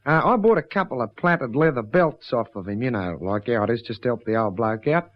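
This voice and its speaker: A man's voice: mid-range voice, expressive, brisk, natural voice. An actor playing a good-natured Australian country man with a colloquial, confiding manner in a radio drama. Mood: chatty, concerned. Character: rambling, good-natured Australian bush local. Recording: dull lo-fi radio recording.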